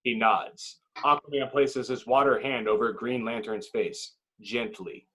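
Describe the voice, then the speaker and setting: Guy reading script action lines, husky voice, dramatic short phrases, table read narrator. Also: mid-range voice, steady tone, measured pace, fairly bright tone, rough recording. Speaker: narrator reading stage directions.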